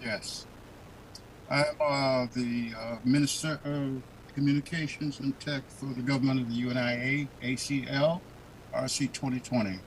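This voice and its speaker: A man who is a former magazine editor, introducing himself haltingly with many pauses. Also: medium pitch, flat delivery, slight background noise, poor audio. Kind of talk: roundtable self-introduction.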